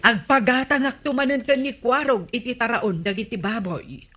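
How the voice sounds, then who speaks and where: A woman's voice: fairly high pitch, normal speed, fairly bright tone. A woman acting a practical, chiding village wife in a comedy radio drama.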